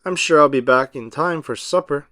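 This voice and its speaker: A man's voice: a little high, normal speed, pushed and bright, no echo, studio quality. A man reading a children's storybook, voicing an earnest, caring little girl. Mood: caring, eager. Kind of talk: children's storybook read-aloud.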